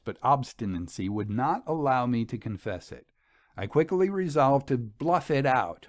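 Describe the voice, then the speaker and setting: A man narrating a first-person story in a measured, formal, old-fashioned manner. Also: mid-range voice, dry recording, clean studio sound.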